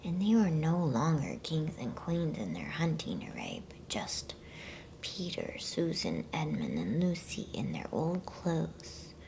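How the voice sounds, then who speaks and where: Female ASMR storybook reader: hushed breathy whisper, very slow delivery, crackly fry, intimate close mic. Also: deep voice, huge pitch swings. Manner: hushed, unhurried, with playful asides. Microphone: close microphone.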